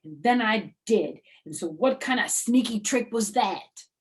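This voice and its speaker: A woman voicing an excitable young schoolgirl in a storybook, dramatic and cheeky, with childish, whiny phrasing. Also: medium pitch, measured pace, voice pushed up, lightly acted. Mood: indignant, confiding. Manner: childish grammar, whiny pleading, hedging.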